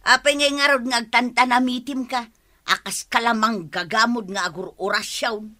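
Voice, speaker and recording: An actress plays a nagging village woman from an Ilocano radio comedy. Her high, very sharp voice scolds and frets plaintively, with a northern Philippine accent. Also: steady tone, normal speed. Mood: scolding. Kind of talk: comedy radio drama acting.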